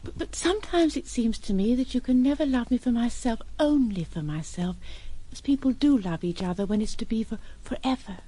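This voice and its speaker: A woman's voice: a little high, a little fast, hardly any pauses, mellow, a little hiss or hum, close to an everyday voice, poor audio. An actress playing a wounded young woman in love in an audio drama, hesitant and pleading.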